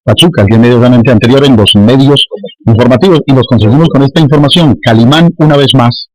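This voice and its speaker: A Colombian man talks as a sports journalist on live radio. He moves quickly through his words. The sound is dry, with a middling pitch. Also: darkish tone, no room sound, soft background music, poor audio.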